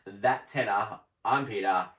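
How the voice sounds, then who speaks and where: A man's voice: on the low side, unhurried, a bit bright. A man hosting a casual film review show, chatting and bantering with a co-host on a live stream. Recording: echoey room.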